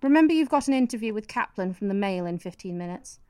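A woman's voice: medium pitch, animated, quick pace, darkish tone, smooth, dry recording, natural voice. A woman playing an earnest political aide in a satirical radio play, prompting her boss. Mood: eager, urging. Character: eager female political aide to a prime minister.

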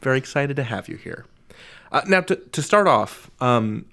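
Male podcast host and interviewer, very lively pitch swings, playful and emphatic delivery. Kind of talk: scripted podcast introduction and interview.

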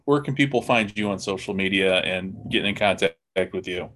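A man's voice: low voice, normal speed, fairly bright tone. A man hosting a podcast in a casual, folksy, affable interview manner. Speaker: podcast host. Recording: faint background noise, clicks.

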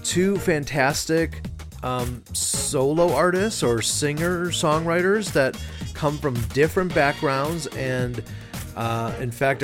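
A man hosting a podcast, introducing his guests with enthusiastic, chatty talk and filler words. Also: medium pitch. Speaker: podcast host. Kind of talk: show intro. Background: music playing.

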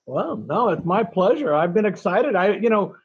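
A talkative man in his sixties, chatting as a guest with a self-deprecating, rambling manner. His voice sits a little high for a man, in a dry room with no echo. The recording sounds dull and lo-fi. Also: big pitch swings, normal speed, breathy edge. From California, USA. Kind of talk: casual interview chat.

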